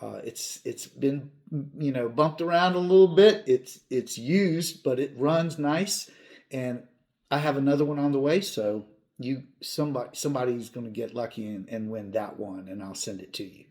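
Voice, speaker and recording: A man's voice: medium pitch, normal speed, a little mellow. A man in his fifties hosting a hobby channel, talking casually to viewers in an earnest, plain-spoken way with emphatic repetition. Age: 50s.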